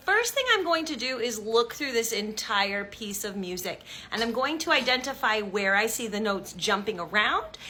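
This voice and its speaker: A woman's voice: fairly high pitch, pushed and bright. A woman teaching an online sight-singing lesson, explaining step by step in an encouraging way and now and then singing short examples. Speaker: music teacher.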